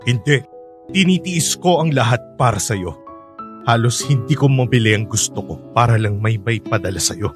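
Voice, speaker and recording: A man's voice: medium pitch, animated, normal speed, music in the background, lightly acted. A man playing a strict, worried father on a long-distance call, scolding in a radio drama. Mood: pleading. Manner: scolding, stern, exasperated.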